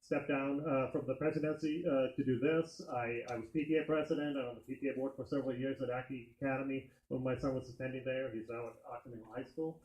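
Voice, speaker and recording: Finnish-born guy running for state senate, lots of uh's, soft mellow tone, roomy sound. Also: mid-range voice, steady tone, quick pace, few pauses. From Finland. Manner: hesitant, lots of filler, friendly. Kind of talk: campaign speech at community meeting. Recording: echoey meeting room.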